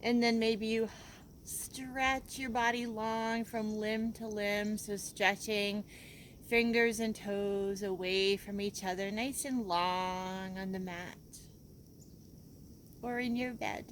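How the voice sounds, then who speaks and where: Slow-paced woman guiding a gentle relaxation. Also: medium pitch, fairly even intonation, slight background noise. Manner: gentle, unhurried, soothing instruction with pauses. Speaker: yoga and meditation teacher. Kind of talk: guided relaxation.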